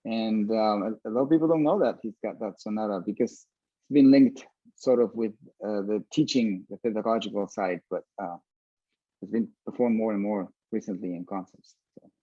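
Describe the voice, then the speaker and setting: Mellow, halting man in a roomy recording. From Venezuela. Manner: halting, pausing often mid-sentence. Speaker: orchestra violinist, interview guest. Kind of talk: casual interview talk. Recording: dull, roomy low-fidelity sound.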